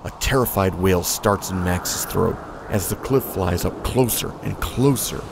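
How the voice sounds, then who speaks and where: A man narrating a story in a measured storytelling manner. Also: low voice, creaky, music in the background. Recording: noisy recording.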